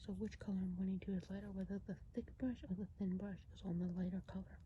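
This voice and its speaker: A woman whispering an ASMR roleplay as a kindly woodland witch, breathy and gentle, explaining things in an unhurried way. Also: mid-range voice, level tone. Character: kindly woodland witch who sees herself as fairy godmother. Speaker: ASMR performer. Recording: dull, muffled audio with clear noise.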